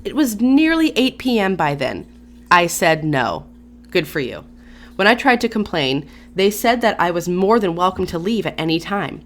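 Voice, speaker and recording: A woman's voice: fairly low pitch, very animated, normal speed. A woman hosting her own channel, reading a story aloud and breaking off for casual, chatty asides with sighs and small laughs. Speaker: YouTube channel host.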